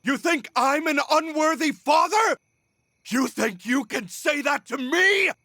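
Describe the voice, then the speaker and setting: An actor playing an embittered, menacing middle-aged villain in a video game, with a theatrical, taunting delivery. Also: male voice, very high-pitched, unhurried, pushed and bright, no room sound, shouting, exaggerated voice. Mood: enraged. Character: embittered, menacing villain, a false father consumed by obsession. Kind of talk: dramatic video game voice acting.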